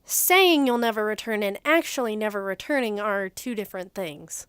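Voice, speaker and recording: Police investigator acted by a woman, brisk, questioning, authoritative, close dry recording, audio drama. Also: mid-range voice, animated, a bit bright, clear, resonant tone, no room sound, natural voice. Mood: pressing.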